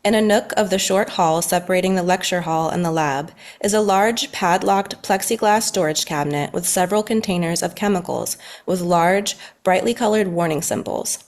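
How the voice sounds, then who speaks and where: A woman's voice: lowish voice, moderate pace, few pauses. A woman narrating stage directions in a scripted table read.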